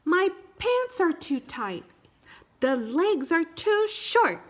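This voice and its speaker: A woman voices a little boy from a picture book, high-pitched and earnest. He is curious and childlike, wondering and declaring things about growing up. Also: big pitch swings, slow, exaggerated voice. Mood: excited.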